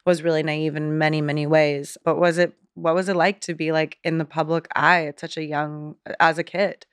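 A young woman with a low-pitched voice hosts a casual interview, asking curious, easygoing questions and swearing now and then. The recording is dry and close to the mic. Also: level tone, normal speed, smooth, no echo. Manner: casual, curious, swears, mentions her therapy. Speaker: podcast host. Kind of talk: conversational interview.

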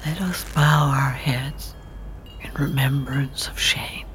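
An actress playing a calm, solemn woman who leads a support group in an audio drama, speaking in a hushed, measured, ceremonial way. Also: very deep voice, full character voice. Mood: solemn, gently probing. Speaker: group leader. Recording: noisy room ambience, slightly distant.

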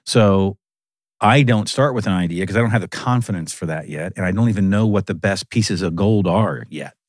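Low-voiced animated man in chat. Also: normal speed, no room sound, studio quality. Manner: animated, laughs often, repeats "right". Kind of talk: casual conversation.

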